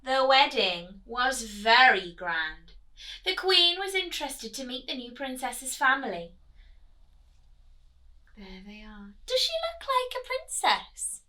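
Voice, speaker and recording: A woman reading a children's storybook aloud in an expressive, dramatic way, as if to young listeners. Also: high-pitched, takes it slowly. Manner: dramatic, asks listeners questions, drops to whispers. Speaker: storytime reader, teacher.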